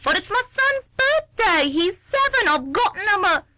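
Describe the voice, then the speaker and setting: A woman's voice: extremely high pitch, very animated, normal speed, pushed and bright, dry recording, clean studio sound, full character voice. A woman playing a chatty, nosy, prickly middle-aged mother in an amateur radio play, rambling, cheeky and easily affronted. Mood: chatty, aggrieved.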